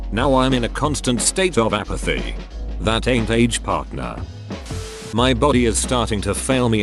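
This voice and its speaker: A man reading out online posts one after another, spelling abbreviations letter by letter. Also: lowish voice. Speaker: Reddit post reader. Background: faint background music.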